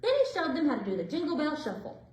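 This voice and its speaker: A woman with a high voice narrates a picture book for children. Her delivery swings up and down expressively, with deliberate phrasing. The room adds a clear echo. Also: wildly swooping pitch, a little mellow. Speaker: librarian reading stories aloud for children. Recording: roomy indoor echo.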